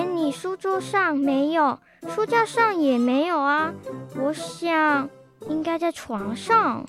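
A schoolgirl acting a whiny, indignant young sister in a children's radio drama. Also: high-pitched. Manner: whiny, protesting.

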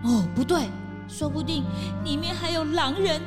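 A woman playing a timid, whiny, gluttonous young child sidekick in a children's detective audio drama, with exaggerated drawn-out exclamations. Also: high voice, loud music behind the voice, close to an everyday voice. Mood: nervous, whiny.

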